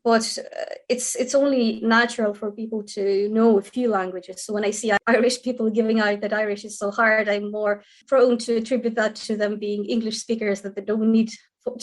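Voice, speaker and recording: Quick-talking Ukrainian woman. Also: medium pitch. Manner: quick, earnest, with many restarts. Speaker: guest, lawyer and historian. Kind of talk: podcast interview.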